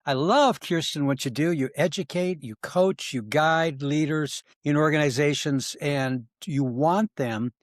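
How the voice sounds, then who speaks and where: A man's voice: a little high, unhurried, few pauses, dry recording, studio quality. A middle-aged man hosting an interview podcast, speaking in an earnest, encouraging way. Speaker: podcast host.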